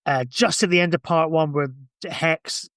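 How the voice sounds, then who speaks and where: A man talks in a fairly high voice, close and dry, as if on a podcast. His delivery is informal and reflective, with an enthusiastic edge to the casual chat. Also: steady tone, normal speed, a bit bright, dry recording. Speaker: podcast speaker.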